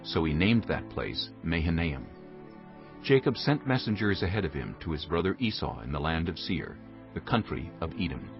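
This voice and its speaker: A man's voice: deep voice, big pitch swings, moderate pace. A man narrating a dramatized scripture reading from a script. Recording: dull lo-fi audio with music behind.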